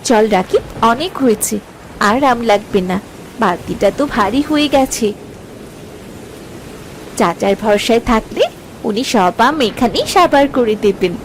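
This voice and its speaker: Lady doing young village girl in a cartoon, sensible n careful, highish voice, faint hiss. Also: expressive, a little slow, pauses often, rough recording. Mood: gently teasing. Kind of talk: cartoon story acting.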